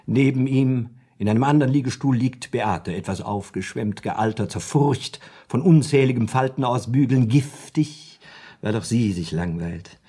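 An actor playing an eager storyteller in a German radio play, spinning out a crime plot with theatrical suspense. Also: male voice, medium pitch, normal speed, mellow, slightly rough, lightly acted. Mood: dramatic narrating. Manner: theatrical, suspenseful, acts out lines.

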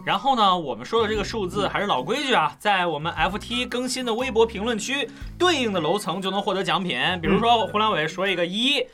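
A man with a high, bright, forward voice in playful group banter. He talks like a panelist among colleagues, with faint music behind him. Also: animated, quick pace, hardly any pauses.

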